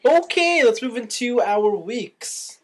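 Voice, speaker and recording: A man's voice: very high voice, very animated, slow, a little hiss or hum. A man hosting a podcast in casual chat, tossing in brief questions and interjections. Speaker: podcast host.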